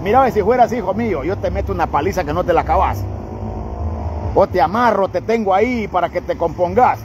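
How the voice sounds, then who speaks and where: A man's voice: sits high, animated, fairly quick. A Honduran man who hosts a charity channel, bluntly lecturing someone on a night street, filmed on a phone. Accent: Honduran Spanish. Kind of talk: scolding street talk. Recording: noisy night street recording.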